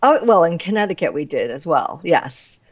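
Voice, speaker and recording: Middle-aged female author guest, slightly low pitch, very animated, conversational, muffled close sound. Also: normal speed, no echo. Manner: animated, laughs readily through her anecdotes. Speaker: author being interviewed. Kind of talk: casual interview chat.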